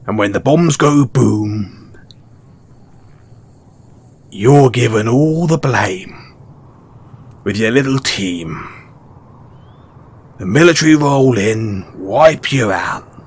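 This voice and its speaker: A man's voice: mid-range voice, lively intonation, breathy edge. A man playing a mysterious, wry and cynical wasteland merchant in a post-apocalyptic audio roleplay, speaking colloquially and knowingly straight to the listener. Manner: unhurried, colloquial, knowing, with pointed pauses.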